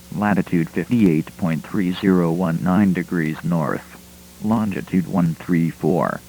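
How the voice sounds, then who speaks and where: A man's voice: sits low, a little mellow, a little hiss or hum, low-quality recording. A man reading a scripted civil emergency alert in a formal, impersonal manner.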